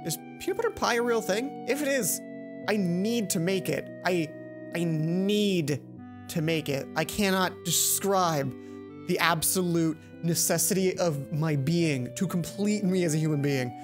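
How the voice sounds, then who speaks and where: A woman with a fairly low voice reads a visual novel aloud over clear background music. She hosts casually, slipping chatty, playful asides in between the narration. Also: unhurried. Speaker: let's play host. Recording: music behind the voice.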